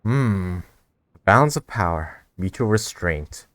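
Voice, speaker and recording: A man's voice: low-pitched, animated, takes it slowly, a bit bright, no echo. A man giving casual let's play commentary as a gaming streamer.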